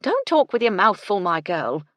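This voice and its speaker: A woman voicing an excitable, motherly female housekeeper who frets, scolds and exclaims in a folksy, deferential way. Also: medium pitch, fairly quick, slightly rough, dry recording, pitched above the performer's own voice, heavily acted. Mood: scolding.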